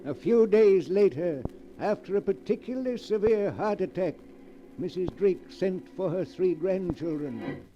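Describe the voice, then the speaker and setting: A man narrating a 1940s radio drama in a measured, ominous way. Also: high voice, lively intonation. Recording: dull old broadcast with music under.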